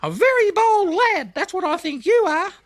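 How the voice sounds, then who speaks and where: Storybook cricket, tiny and nosy, extremely high squeaky voice, exclamatory and fussy. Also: male voice, very animated, moderate pace, dry recording. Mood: astonished, admonishing. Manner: exclamatory, fussily admonishing. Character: tiny chirpy male cricket, brisk nosy elder. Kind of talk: children's audiobook character voice.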